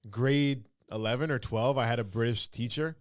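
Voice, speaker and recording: A man from Canada hosting a casual podcast chat, quick and joking, often dropping into impressions. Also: mid-range voice, no echo, studio quality. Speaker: podcast host.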